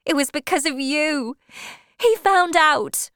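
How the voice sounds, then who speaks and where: A proud, troubled young farm woman played by a woman narrator, her voice lifted high and urgent. She protests with distress in a dry storybook recording. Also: slow pace, a bit bright, dry recording. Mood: anguished, defensive.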